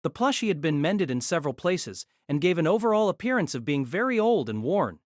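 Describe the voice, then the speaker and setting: A man narrating a novel in an audio drama, steady storytelling with lively inflection. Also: on the high side, fairly quick, no room sound, studio quality.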